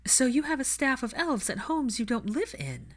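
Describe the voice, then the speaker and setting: A woman's voice: high voice, big pitch swings, normal speed, pitched above the performer's own voice. A woman narrator voicing a principled, stubborn young witch who speaks in a pointed, questioning way in a fan fiction reading. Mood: indignant.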